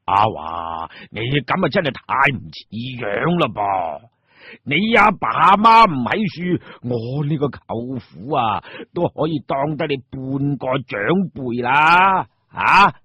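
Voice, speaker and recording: Man acting a scolding old Cantonese uncle, husky. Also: mid-range voice, sing-song, takes it slowly, bright, forceful tone, dry recording, lo-fi sound, full character voice. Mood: indignant scolding. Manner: scolding, insistent, questioning. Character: stern, exasperated elderly uncle, emotional and suspicious. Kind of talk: Cantonese radio drama acting.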